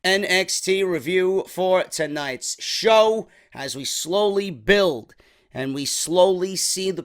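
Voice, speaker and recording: A young man from New York, chatty and slightly hoarse from a sore throat, reviewing a wrestling show in a casual vlog. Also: on the high side, a bit bright. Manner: chatty, keeps calling viewers "man".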